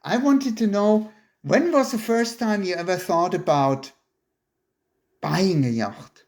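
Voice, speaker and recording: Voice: mid-range voice, unhurried, darkish tone. An interviewer from Germany, speaking casually and chattily with frequent laughs between remarks.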